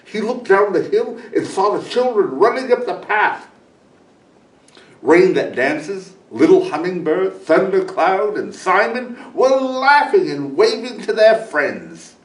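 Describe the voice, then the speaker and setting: A man reading a children's story aloud as a guest storyteller, in an animated way. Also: high voice, slow pace. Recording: echoey room, distant microphone.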